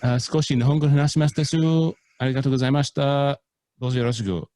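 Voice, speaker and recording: A man's voice: medium pitch, fast, dark tone, no room sound. A man co-hosting a golf podcast in casual chat, playful and mock-earnest, hamming up foreign pronunciations. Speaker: podcast co-host.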